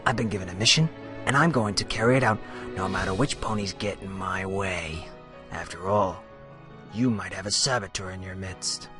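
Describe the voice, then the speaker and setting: Man as low-voiced, menacing reporter pony over music. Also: moderate pace, close to an everyday voice. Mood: smug menace. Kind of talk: hard-boiled radio play acting. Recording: loud music under the voice.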